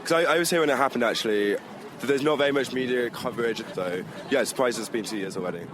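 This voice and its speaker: A man's voice: mid-range voice, quick pace. A man from the UK, answering a street interview in a thoughtful, hedging way. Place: city street outdoors. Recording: street recording with traffic noise.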